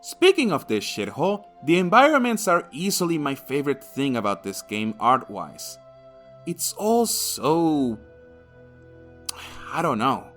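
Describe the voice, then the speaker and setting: A man's voice: medium pitch, very animated, a little slow, fairly bright tone, faint music behind. A man narrating an opinionated video game review in a crude, sardonic way, swearing freely.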